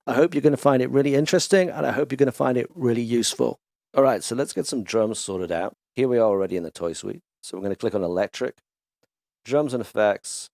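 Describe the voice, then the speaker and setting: A man hosting a casual music production tutorial, chatty and enthusiastic as he walks through software instruments in his home studio. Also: medium pitch, creaky, no echo. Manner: relaxed, chatty, enthusiastic demonstrator. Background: instrument demo music.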